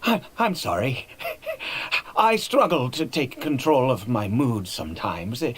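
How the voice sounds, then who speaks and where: An actor playing an ancient cursed nobleman in a video game, frail, desperate and unstable, speaking theatrically and haltingly with erratic swings. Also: mid-range voice, sing-song, slight background noise. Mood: apologetic, frail. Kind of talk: dramatic video game voice acting. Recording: game audio with faint music behind.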